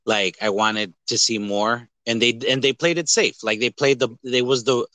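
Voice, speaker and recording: Guy on a movie podcast, bright punchy voice, bouncy delivery, rambles and jokes around, really dry sound. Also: medium pitch, normal speed, dry recording. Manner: animated, rambling, jokey, uses profanity. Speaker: podcast host. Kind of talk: casual movie review chat.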